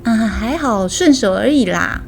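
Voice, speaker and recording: A woman's voice: a little high, lively intonation, unhurried, vocal fry. Casting: woman playing friendly, talkative Taiwanese man of thirty-two, casual helpful manner, audio story over music. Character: chatty, good-natured thirty-two-year-old single man.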